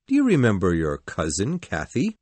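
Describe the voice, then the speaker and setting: Man playing a brooding, animated storybook gentleman. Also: lowish voice, moderate pace, no room sound. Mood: firm. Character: brooding adult man, outwardly calm, quietly bitter. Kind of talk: storybook character dialogue.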